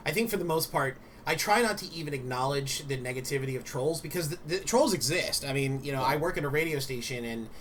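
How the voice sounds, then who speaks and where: A man co-hosting a streamer talk show, telling animated stories in casual chat with many fillers and some swearing. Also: a little high, fast, few pauses, slight background noise.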